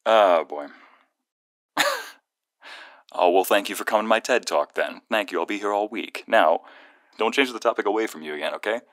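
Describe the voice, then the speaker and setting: Deep-voiced, playful, protective guy friend, acted by a man. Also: normal speed, pauses often, no room sound, studio quality, lightly acted. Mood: animated, joking. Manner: teasing banter turning soft and earnest. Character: playful, protective young male friend, secretly fond. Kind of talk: ASMR roleplay, one-sided phone call.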